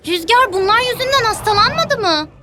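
A tiny child sea creature from a cartoon, pipes up in an extremely high, bright voice. It sounds earnest, with children's music faintly underneath. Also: female voice, lively intonation, fairly quick, full character voice. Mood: earnest, worried.

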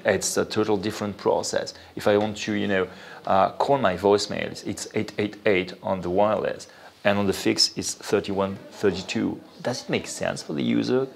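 A man with a French accent giving explanatory interview answers, halting as he thinks aloud and often saying "you know". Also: on the low side, steady tone, vocal fry, a little hiss or hum. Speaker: interview guest, venture capital investor. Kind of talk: spoken interview, explanatory talk.

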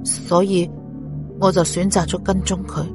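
A woman's voice: lowish voice, level tone, a little slow, over music, close to an everyday voice. A woman voicing a nervous, lovesick male suspect, hesitant and defensive, in an audiobook. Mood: reluctant, dejected. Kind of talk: audiobook character voice.

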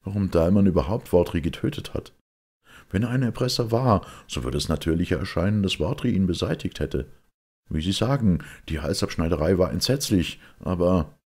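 A man's voice: low-pitched, animated, brisk, a little mellow, slightly rough, pitched above the performer's own voice, heavily acted. A man voicing an impatient, incredulous male secretary in an audiobook, objecting and questioning emphatically. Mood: puzzled. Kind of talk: audiobook character acting.

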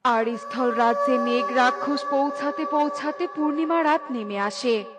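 Woman reading a cartoon story, high voice, loud music behind her. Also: normal speed. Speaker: narrator.

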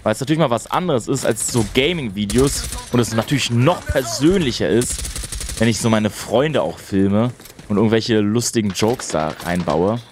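Chatty young gamer, mid-match chatter. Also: male voice, on the low side, expressive, moderate pace, slight background noise. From Berlin, Germany. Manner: chatty and enthusiastic, rambling asides. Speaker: gaming streamer. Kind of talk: casual chat while gaming. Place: small home room at a gaming setup. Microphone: studio mic on a boom arm, wearing headphones.

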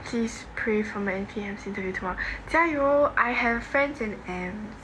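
A woman's voice: medium pitch, lively intonation, moderate pace. A young adult woman with a Singaporean accent chatting casually on a livestream, reading and answering comments in an informal, offhand way. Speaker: livestream host. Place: home bedroom, indoors. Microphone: phone at arm's length. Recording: noisy phone recording in a room.

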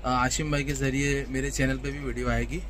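A man's voice: medium pitch, little pitch movement, fairly quick. A middle-aged man chatting casually as a guest on a phone-shot vlog. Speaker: travel companion and guest. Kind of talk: casual chat. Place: street at night and seat inside a train. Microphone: phone at arm's length. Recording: handheld phone amid crowd noise.

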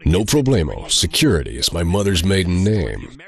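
An actor playing a sardonic, amoral slacker antihero in a video game, husky and deadpan. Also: male voice, sits low, moderate pace, exaggerated voice. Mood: deadpan sarcasm. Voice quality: husky, gravelly. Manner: deadpan, sarcastic, dry. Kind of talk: game cutscene narration.

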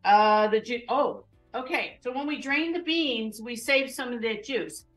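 A woman's voice: on the high side, unhurried, fairly bright tone, soft background music, low-quality recording. A woman in her fifties hosting a live cooking show from her home kitchen, chatting casually and explaining each step as she goes.

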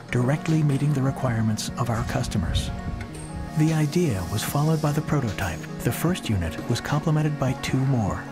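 A man reading a scripted promotional voice-over in a measured, deliberate way, pausing slowly between phrases. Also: medium pitch. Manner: measured, deliberate delivery with slow pauses. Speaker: corporate video narrator. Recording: voice-over under loud music.

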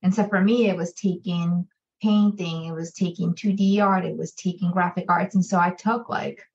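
A woman's voice: fairly low pitch, steady tone, normal speed, darkish tone. A middle-aged woman from Colombia, a native Spanish speaker, chatting informally as an interview guest. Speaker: podcast guest, physician assistant. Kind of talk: conversational interview answers. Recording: slightly dull, lo-fi sound.

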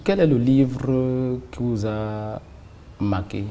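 A man's voice: medium pitch, takes it slowly, warm tone. A man in his thirties with a Cameroonian accent, a television journalist asking formal questions with frequent fillers, recorded on a lapel mic in a formal room. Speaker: TV journalist interviewer. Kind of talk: formal broadcast interview. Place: formal reception room indoors.

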